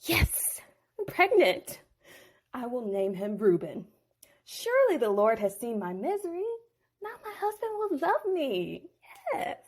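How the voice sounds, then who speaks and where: A woman's voice: on the high side, wildly swooping pitch, a little slow, full character voice. A woman doing a hopeful unloved young wife. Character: unloved young wife longing for her husband. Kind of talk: storybook audio drama.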